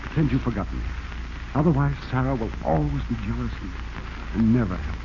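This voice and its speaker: An actor playing a desperate, embittered husband accused of murder, in dramatic 1940s radio style. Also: male voice, medium pitch, expressive, fairly quick, close to an everyday voice. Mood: grave, resigned. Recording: muffled, noisy 1940s radio transcription.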